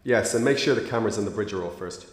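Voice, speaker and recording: An actor in a radio drama playing a cold, ruthless male intelligence agent with clipped, deadpan delivery. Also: on the low side, fast talker, breathy edge. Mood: cold, flat.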